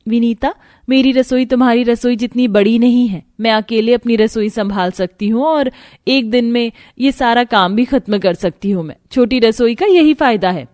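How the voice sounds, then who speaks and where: A woman's voice: on the high side, normal speed, darkish tone, no room sound, studio quality, natural voice. Woman playing a proud, capable elder sister-in-law. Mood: firm.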